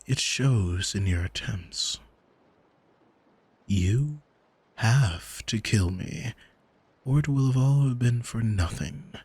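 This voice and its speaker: A man playing an arrogant, manipulative demon in an ASMR roleplay, coaxing in a smug, soothing, hypnotic manner with a breathy, husky voice. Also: mid-range voice, lively intonation, a little mellow, exaggerated voice. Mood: possessive, coaxing. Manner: smug, deliberate, soothing, commanding. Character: arrogant male demon, soul-owning manipulator, calm and seductive.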